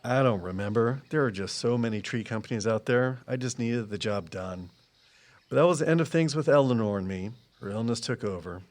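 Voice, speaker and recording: An actor playing a guarded, loyal tree expert in an audio drama, speaking in a plain, matter-of-fact way. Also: male voice, mid-range voice, close to an everyday voice. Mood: regretful, defensive. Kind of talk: naturalistic audio drama dialogue.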